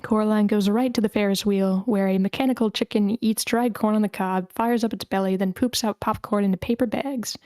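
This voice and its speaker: A woman's voice: medium pitch, steady tone, brisk, few pauses, a little mellow, no echo. A woman reading a screenplay's stage directions as the narrator in scripted narration.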